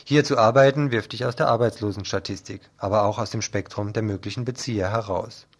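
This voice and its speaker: A man's voice: lowish voice, fairly even intonation, fairly quick. Man reading radio news commentary.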